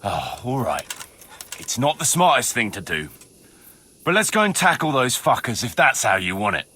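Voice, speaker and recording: An actor playing a gruff, cautious mercenary chief in a video game, dry and folksy in his orders. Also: medium pitch, very animated, normal speed, bright, forceful tone, heavily acted. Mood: grudging, commanding. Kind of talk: video game cutscene acting.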